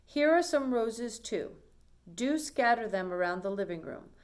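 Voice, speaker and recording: Lady of the house, read by a woman: storybook, busy, courteous, briskly handing out instructions. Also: fairly high pitch, animated. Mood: instructing. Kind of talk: children's storybook character reading.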